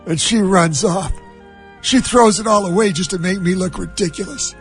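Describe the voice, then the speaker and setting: A blustering middle-aged movie mogul, pitched very high. He rants with wounded pride and heavy emphasis, stretching out each grievance. Faint music sits behind the voice. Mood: bitter, boastful. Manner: emphatic, drawn-out ranting. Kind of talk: comic impression of a film scene.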